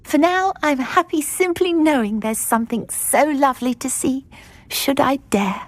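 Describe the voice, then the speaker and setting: An actress playing a gentle, wistful and timid witch in a video game, with a British accent and a genteel, fluttery manner. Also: sits high, moderate pace, dry recording, lightly acted. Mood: excited, delighted. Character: gentle, wistful, timid middle-aged witch, fond of butterflies.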